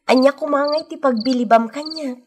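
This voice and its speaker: An actress playing a headstrong, secretive grown daughter in an Ilocano radio drama. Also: female voice, sits high, moderate pace, noisy background, natural voice. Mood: tender, secretive. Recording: old radio recording with music behind.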